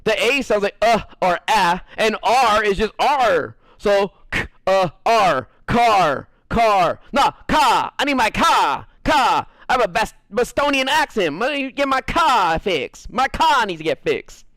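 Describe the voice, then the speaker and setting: An American man streaming a game and chatting casually, animated and swearing freely, with frequent laughs. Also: sits high, measured pace, no room sound. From United States. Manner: animated, profane, laughs often. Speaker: gaming streamer. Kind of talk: casual chat while gaming.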